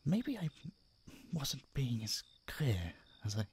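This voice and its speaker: A man playing an obsessive, doting yet menacing masked kidnapper in an audio roleplay, speaking softly and tauntingly to his captive. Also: medium pitch, wildly swooping pitch, a little hiss or hum, full character voice. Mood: taunting, possessive. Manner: soft, taunting, condescending, drawn-out murmurs and whispers. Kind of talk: intimate audio roleplay monologue addressed to the listener.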